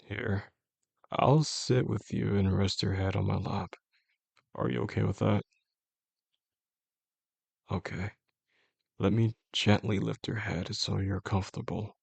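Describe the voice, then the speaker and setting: A man playing a possessive, soft-spoken boss in an intimate audio drama, talking gently and with control straight to the listener in a low, gravelly voice. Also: fairly even intonation, no room sound, exaggerated voice. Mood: tender, coaxing. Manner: slow, soothing, gentle, controlled. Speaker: voice actor.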